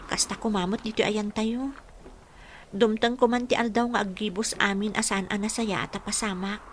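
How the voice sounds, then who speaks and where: A woman's voice: medium pitch, fairly even intonation, normal speed, a little hiss or hum, lightly acted. A woman playing an earnest, sorrowful young village woman who hopes for peace, acted in an Ilocano radio drama with an Ilocano accent. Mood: wistful, hopeful.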